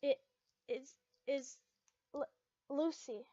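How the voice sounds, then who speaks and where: A woman voicing a bashful, flustered teenage boy in a story, with halting, stammering speech. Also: high-pitched, mellow, dry recording. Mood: shy, flustered. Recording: muffled lo-fi home recording.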